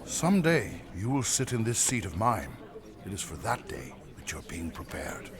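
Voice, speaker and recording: An actor playing a stern, patient king and father in an audio drama, commanding and measured. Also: on the low side, very animated, a crowd in the background.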